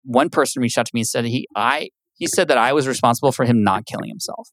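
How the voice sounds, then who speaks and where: A man's voice: mid-range voice, fast, no echo. A man talking as a podcast guest in casual conversation, earnest and patient. He explains things with many fillers. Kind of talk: casual interview talk.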